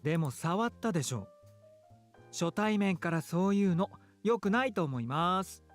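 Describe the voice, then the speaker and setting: An actor playing a caring, politely firm adult man with gentle feminine turns of phrase in a Japanese visual novel. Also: high-pitched, lively intonation, fast. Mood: firm, chiding. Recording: game dialogue with music behind.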